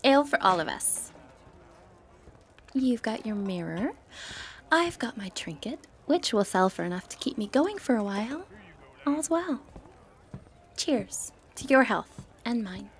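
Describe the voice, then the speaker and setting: A woman playing a sly, confident, flirty thief in a fantasy roleplay, playful and cheeky with breathy asides. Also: on the high side, sing-song, a little slow, long pauses. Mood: relaxed, pleased. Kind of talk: scripted fantasy ASMR roleplay, addressing the listener.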